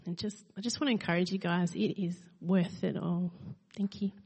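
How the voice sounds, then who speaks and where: A woman's voice: lowish voice, fairly even intonation, moderate pace, a little mellow, a little hiss or hum. A woman giving an earnest, emphatic tithe message to a church congregation. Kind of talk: sermon-style encouragement. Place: church hall. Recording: long hall reverb.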